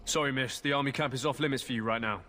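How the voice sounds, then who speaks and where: A man's voice: mid-range voice, quick pace, bright, forceful tone, close to an everyday voice. An actor playing a male army camp guard in a fantasy game, turning someone away curtly.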